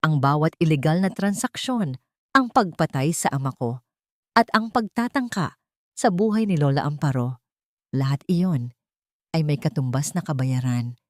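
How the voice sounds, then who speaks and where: A woman voicing a cold, sharp, commanding male heir in an audio drama. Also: low-pitched, animated, normal speed, long pauses, no room sound, studio quality. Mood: cold, accusing.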